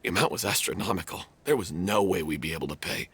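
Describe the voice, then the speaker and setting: An actor in a video game playing a guilt-ridden man from a theater troupe, halting and self-blaming as he speaks. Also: deep voice, a bit bright, husky, exaggerated voice. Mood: regretful recounting. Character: guilt-ridden young male theater troupe member confessing a mistake.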